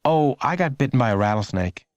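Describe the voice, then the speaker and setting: A man's voice: mid-range voice, expressive, a little fast, a little mellow, no room sound, pitched above the performer's own voice, lightly acted. A man voicing an earnest young boy in a children's audiobook. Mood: proud. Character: earnest young schoolboy, modest yet proud.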